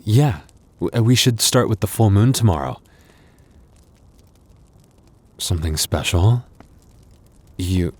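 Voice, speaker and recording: A man voicing a tender, bashful young werewolf boyfriend in an intimate ASMR roleplay, halting and stammering, with breathy little laughs and sighs. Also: low-pitched, a little mellow. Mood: shy, touched.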